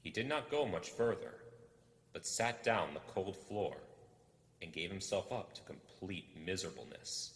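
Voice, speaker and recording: A man narrating a storybook expressively, in a measured, dramatic delivery with deliberate pauses. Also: deep voice, expressive. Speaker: audiobook reader. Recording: roomy echo with long reverb.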